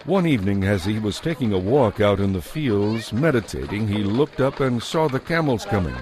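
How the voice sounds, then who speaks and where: Low-voiced man narrating scripture. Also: expressive, normal speed, a little mellow. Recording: music behind the voice.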